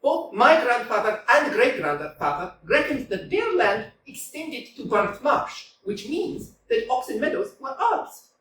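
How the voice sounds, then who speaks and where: A woman's voice: a little high, sing-song, unhurried, a bit bright. A woman playing a stubborn young landowner lady, echoey. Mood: furious. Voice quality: emphatic, argumentative, sing-song outbursts. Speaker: community theater actor. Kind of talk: staged reading of a stage comedy. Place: small community theater. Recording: echoey room, from far off, faint noise.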